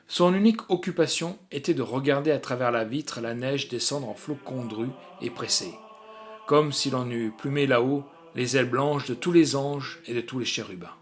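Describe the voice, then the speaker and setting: A man narrating a literary short story in an expressive, theatrical way with deliberate phrasing. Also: medium pitch.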